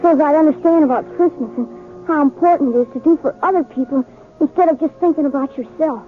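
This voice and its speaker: A woman's voice: high voice, fast, close to an everyday voice. A woman playing an earnest, shy young farm boy with rustic, plain speech in a radio western. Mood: thoughtful, downcast. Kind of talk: 1950s radio western acting. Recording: old tinny radio broadcast with music.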